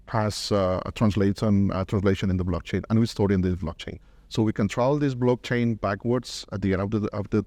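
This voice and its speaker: A middle-aged man being interviewed at a conference, speaking haltingly and often repeating his words. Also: deep voice, darkish tone, dry recording. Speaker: interviewed corporate marketing director. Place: medium conference room, indoors.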